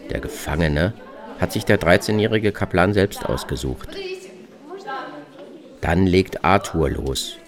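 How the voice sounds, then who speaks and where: A man giving a scripted literary radio narration, reciting verse as well as prose. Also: rumbling low.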